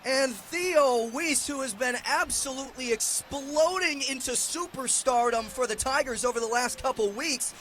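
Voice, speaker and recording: A man calling live sports play-by-play for radio in an excitable way, raising his voice to a shout on big plays. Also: extremely high pitch, moderate pace, hardly any pauses. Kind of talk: live radio football and basketball play-by-play commentary. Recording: clearly noisy live broadcast sound.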